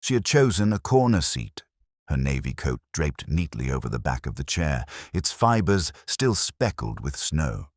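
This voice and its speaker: An extremely low-voiced man narrates a first-person mystery with measured, reflective phrasing. His pitch rises and falls expressively through each literary sentence. The sound is dry, with hardly any room around it. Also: big pitch swings, no echo. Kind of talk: scripted first-person detective story narration.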